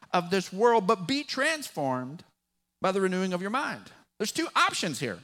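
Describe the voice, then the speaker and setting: A man with a West Texas drawl preaching a sermon as pastor, folksy and animated, with a touch of humor. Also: high-pitched.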